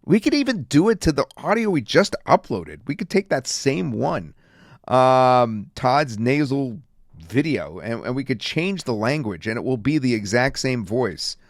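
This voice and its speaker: A man reviewing and demonstrating software in a casual, rambling way with many fillers. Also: mid-range voice, sing-song, unhurried, dry recording, studio quality. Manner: casual, filler-heavy talk. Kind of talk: screen-recorded software demo.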